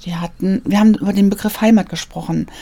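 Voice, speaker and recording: Earnest German-speaking woman, mellow voice. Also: medium pitch, steady tone, quick pace. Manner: earnest, searching for words with many fillers. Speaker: author interviewed about portraits of immigrant women. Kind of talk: thoughtful interview answers. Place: studio, seated at a mic.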